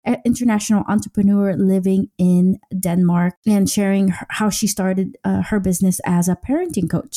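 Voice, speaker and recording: A woman's voice: medium pitch, warm tone. A woman hosting a podcast, chatting in a casual, upbeat way and often restarting her sentences. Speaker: podcast host. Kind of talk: casual interview chat.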